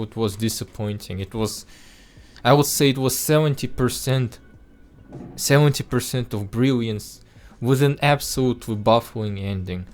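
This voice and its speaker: A man speaking English as a second language with an accent listeners have taken for Russian, talking through a film review in an earnest way, with frequent restarts and filler words. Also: mid-range voice. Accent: Russian-sounding non-native English. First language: not English. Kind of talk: casual spoken film review.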